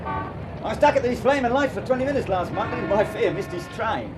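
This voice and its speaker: A man's voice: extremely high pitch, expressive, a little fast, music in the background, poor audio. A man acting in a radio drama as a chatty, grumbling British working man stuck in traffic. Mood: wry, grumbling.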